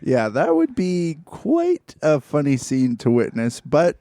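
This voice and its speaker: A man's voice: on the high side, wildly swooping pitch, slow pace, warm tone, no room sound, clean studio sound. A man co-hosting a comedy podcast, riffing in casual banter with mock-formal turns of phrase.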